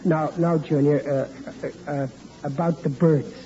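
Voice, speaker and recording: A bumbling radio sitcom dad played by a man, pitched high with a mellow tone. He stammers, repeats himself and blusters in exasperation whenever his schemes wobble. It sounds like a dull, noisy vintage broadcast. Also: fairly even intonation, a little slow, natural voice. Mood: sheepish scheming. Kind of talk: 1940s radio comedy acting.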